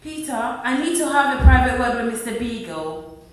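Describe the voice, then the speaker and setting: Woman as caring working-class mother, radio drama, higher-pitched, polite and earnest, very reverberant, off-mic. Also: sing-song, moderate pace. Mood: gentle, polite. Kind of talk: radio play acting. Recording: distant, very echoey room recording.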